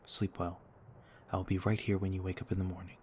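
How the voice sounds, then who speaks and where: A man playing a gentle, ancient noble vampire in an ASMR roleplay, speaking in a courtly, soothing way. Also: low voice, level tone, quick pace, a little hiss or hum, lightly acted. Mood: soft, kindly. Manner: courtly, formal, soothing, reassuring. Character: gentle noble male vampire, over a thousand years old, looks thirty-two.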